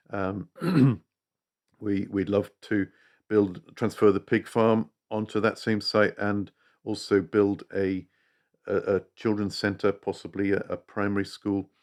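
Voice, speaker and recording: Fifties male, deep and monotone, unscripted charity appeal, halting with many restarts and throat clearing. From Uganda, raised in East Africa then UK. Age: 50s. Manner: hesitant, many restarts and repeated words. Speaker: charity project presenter.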